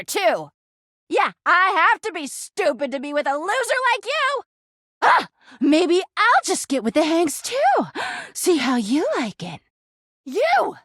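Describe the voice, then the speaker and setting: A clingy, melodramatic girlfriend from a video game. Her voice sits high and swoops constantly with volatile, whiny emotion. She is jealous and needy, recorded dry and clean like studio character work. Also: a bit bright, no echo, exaggerated voice. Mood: jealous, indignant. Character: clingy, jealous, melodramatic female living household object, toxic girlfriend.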